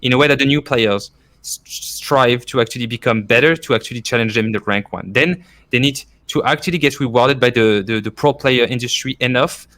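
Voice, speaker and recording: A French-accented man with a very bright, forward voice. He talks as a guest in a casual debate, explaining at length and repeating key points for emphasis. His pitch sits in an ordinary male range. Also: a little fast. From France. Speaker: former esports coach, podcast guest. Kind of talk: casual argumentative discussion.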